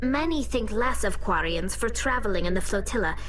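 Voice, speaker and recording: A woman's voice: mid-range voice, lively intonation, a little fast, fairly bright tone, slight background noise, natural voice. A woman voicing an earnest, curious young female alien engineer in a video game. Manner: earnest, curious, explains her people plainly. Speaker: squad member. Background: game music.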